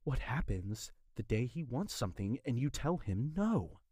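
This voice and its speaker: A woman playing an earnest, wounded young man pleading with a former love in an audio drama, husky and intense. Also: a bass voice, sing-song, normal speed, warm tone, no echo, clean studio sound, exaggerated voice. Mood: accusing, cold.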